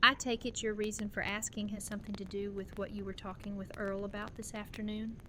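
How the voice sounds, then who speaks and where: A woman's voice: mid-range voice, little pitch movement, brisk, bright, forceful tone, close to an everyday voice. Woman acting tender young mother, noisy recording. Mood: matter-of-fact, amused. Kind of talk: fan audio drama dialogue. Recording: clear background noise and ambience.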